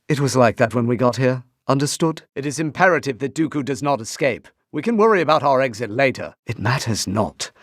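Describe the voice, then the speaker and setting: A man's voice: medium pitch, no echo. A male actor playing a composed, wry Jedi master in a video game, with a refined British accent and dry, formal phrasing. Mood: measured, cautious. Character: composed, wry Jedi master, adult man mentoring an apprentice. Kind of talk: video game voice clips, short barks and dialogue lines.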